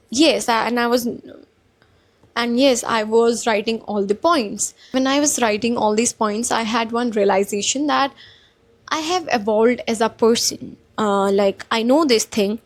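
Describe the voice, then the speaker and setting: A young woman with an Indian accent, vlogging in a calm, polite, soft-spoken way and mixing Hindi with English. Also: fairly high pitch, a little slow. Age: young adult. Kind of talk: casual reflective vlog talk.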